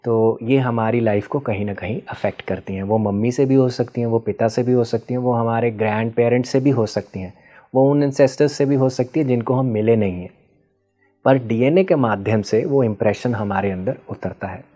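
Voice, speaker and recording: Male expert guest, warm low-key timbre, teacherly and patient, code-switches into English. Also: a little fast. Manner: patient teacherly explaining with frequent checking questions; mixes Hindi with English terms. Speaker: guest expert on ancestral healing. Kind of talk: explanatory interview answers.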